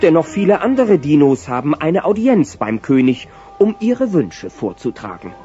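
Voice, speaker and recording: An actor as the narrator of a children's audio play, telling the story in a vivid scripted style. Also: male voice, a little high, big pitch swings, moderate pace, dark tone. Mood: storytelling. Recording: muffled cassette-like sound with music.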